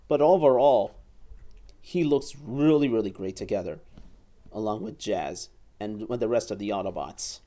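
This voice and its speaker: A man reviewing and demonstrating a toy at a table indoors, talking haltingly and often repeating words and phrases. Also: lowish voice, warm tone.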